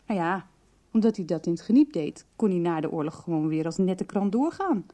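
An actress playing a calm, understanding woman who investigates and explains in a conversational Dutch radio soap. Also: fairly low pitch, a little fast, lightly acted. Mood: engaged, explanatory.

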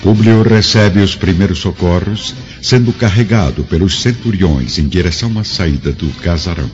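A Brazilian man narrating a radio drama in a solemn, theatrical style with measured phrasing. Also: deep voice, darkish tone. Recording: dull lo-fi audio, music underneath.

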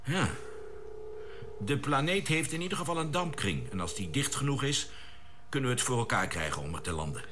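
An actor playing a composed, decisive spaceship commander who gives brisk orders in a science fiction radio drama. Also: male voice, mid-range voice, very animated, noisy background, natural voice. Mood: calm, businesslike.

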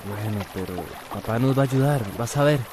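An actor playing Daniel, a gentle, reassuring young son in a radio soap opera. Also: male voice, mid-range voice, brisk, music in the background, close to an everyday voice. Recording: clear noisy outdoor ambience.

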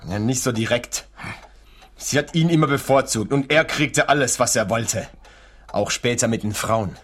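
An actor playing a meek, resentful, lovesick man in a radio play, his voice husky. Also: mid-range voice, moderate pace, fairly bright tone, lightly acted. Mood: bitter, resigned.

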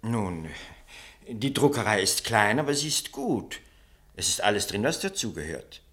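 An actor playing a wary, indebted print shop owner who speaks in clipped, broken sentences in a radio play. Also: male voice, lowish voice, big pitch swings, moderate pace, fairly bright tone.

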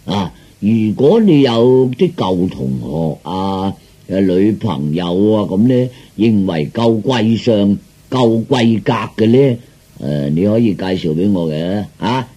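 Low, husky, pompous king. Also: expressive, slow pace, dark tone, highs cut off, heavily acted. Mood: pompous, coaxing. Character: pompous self-indulgent male king, a doting father. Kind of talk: Cantonese comic radio drama acting.

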